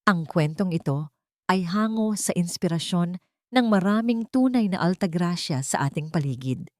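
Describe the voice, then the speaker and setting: A woman storyteller speaks in a fairly low voice that rises and falls a great deal. She narrates solemnly and sentimentally, with deliberate phrasing. The sound is dry, with no room around it. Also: animated, darkish tone, dry recording. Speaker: storyteller, host of an audio story channel. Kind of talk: scripted dramatic story narration.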